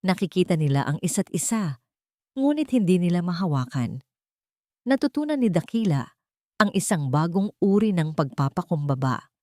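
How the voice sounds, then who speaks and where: Female Filipino narrator for sentimental drama stories, slightly deep register, highly expressive melody, measured delivery. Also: no echo, clean studio sound. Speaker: storyteller, narrator. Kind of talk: scripted dramatic story narration.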